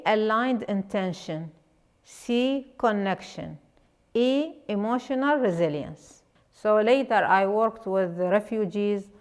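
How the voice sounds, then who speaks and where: A woman with an Iraqi Arabic accent, an educator and life coach, telling her own story earnestly with many pauses and restarts. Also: mid-range voice. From Iraq. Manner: earnest, pausing often with fillers and restarts. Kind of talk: personal story talk.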